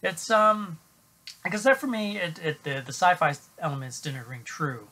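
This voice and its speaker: A middle-aged man giving an unscripted film review to camera at home, halting with many fillers and restarts. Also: fairly high pitch, bright, forceful tone. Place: small room at home, indoors.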